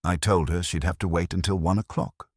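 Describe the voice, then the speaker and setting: A man's voice: low voice, fairly even intonation, fairly quick, no echo, clean studio sound. A man narrating a first-person detective story in a wry, hard-boiled manner. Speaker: audiobook narrator.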